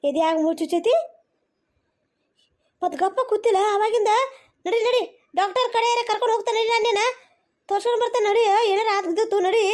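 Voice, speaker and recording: A woman voicing a blunt, baffled village mother-in-law in a cartoon comedy, with a North Karnataka accent, nagging with repeated questions. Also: very high voice. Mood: alarmed, bossy.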